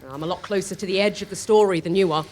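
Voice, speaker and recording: A woman's voice: medium pitch, very fast, darkish tone, a little hiss or hum, close to an everyday voice, low-quality recording. An actress playing a blunt, protective, weary woman warrior in an audio drama, direct and dry. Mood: confronting.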